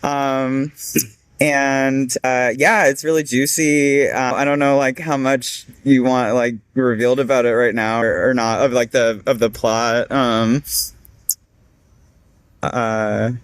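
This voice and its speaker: A man's voice: medium pitch, level tone, slow pace, smooth, no room sound. A man chatting casually in an online meeting, hesitant and often searching for his words. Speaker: actor discussing his project. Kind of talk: casual chat.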